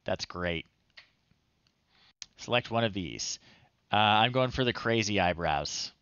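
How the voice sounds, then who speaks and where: A man's voice: fairly low pitch, expressive, slow, a bit bright, clear, resonant tone, no room sound. A man in his thirties casually commentating a game on a live stream, reading screen text aloud with amused, playful asides. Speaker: streamer, host of a retro game show. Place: small indoor room at home. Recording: slightly dull, dry close-up recording.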